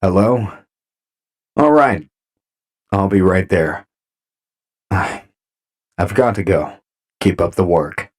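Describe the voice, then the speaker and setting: An actor playing a calm, courteous robot commander in an audio drama, measured in manner. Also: male voice, low-pitched, exaggerated voice. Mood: courteous, commanding.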